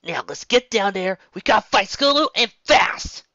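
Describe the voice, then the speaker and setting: A narrator voicing a brash, hot-tempered female cartoon pegasus pony, fiercely protective, with urgent, forceful exclamations. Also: medium pitch, lively intonation, normal speed, no echo, dull audio, voice pushed up.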